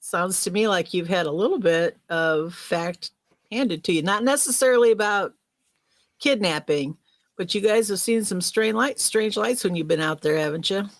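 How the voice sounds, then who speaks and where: A woman's voice: medium pitch, normal speed, no echo. A woman co-hosting a casual live podcast, teasing and joking at her own expense while steering questions to guests.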